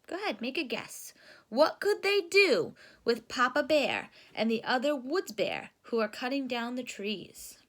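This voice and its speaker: A woman reading a children's picture book aloud in a deliberate, teacherly way, stopping to put questions to young listeners. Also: high-pitched, expressive, a bit bright. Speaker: read-aloud storyteller for children.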